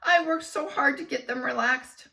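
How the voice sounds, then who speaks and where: A woman's voice: high voice, normal speed, vocal fry, pushed and bright, far from the mic, pitched above the performer's own voice, full character voice. A woman voicing a hysterical woman teacher who shrieks and wails in a total tantrum. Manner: shrieking, wailing.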